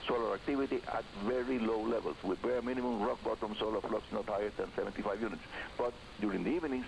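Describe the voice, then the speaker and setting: A man's voice: lowish voice, fast, gravelly. An older man hosts a scripted hobby radio program over shortwave, emphatically addressing listeners as friends. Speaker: radio show host. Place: radio studio, heard over shortwave receiver. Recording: hissy, noisy shortwave radio broadcast reception. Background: loud hiss and static.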